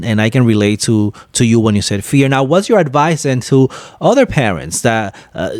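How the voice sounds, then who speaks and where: A man's voice: medium pitch, a little mellow, no echo. Man host with dry close sound. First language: Spanish. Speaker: podcast co-host. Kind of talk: casual interview conversation.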